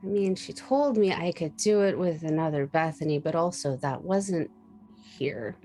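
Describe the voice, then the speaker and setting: A woman's voice: low-pitched, moderate pace, dark tone. A woman talking casually as a player in a tabletop roleplaying game. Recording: muffled lo-fi sound, music behind. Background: background music.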